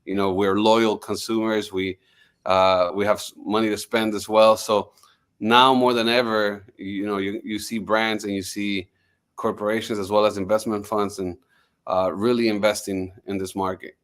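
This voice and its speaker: Low-pitched male guest, grew up in Miami, confident executive tone, restarts phrases as he talks. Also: flat delivery, normal speed, a bit bright. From Miami, United States. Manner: fluent, confident, with frequent restarts. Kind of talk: podcast interview.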